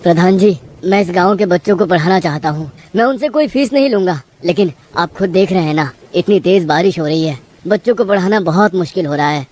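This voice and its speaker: A woman's voice: fairly low pitch, fairly quick, hardly any pauses, darkish tone, lightly acted. A woman voicing a kind, earnest male village schoolteacher with a caring manner, in a cartoon moral story. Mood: earnest pleading.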